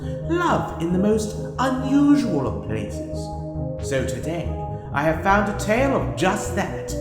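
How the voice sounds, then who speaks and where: A man performs a sinister male narrator of dark audio-drama tales. His voice sits a little high and moves slowly, savoring florid phrases with grand theatricality. A long reverb surrounds him. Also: big pitch swings, exaggerated voice. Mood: grandiose, inviting. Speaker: narrator and host of an anthology audio series. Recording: long hall reverb under loud music.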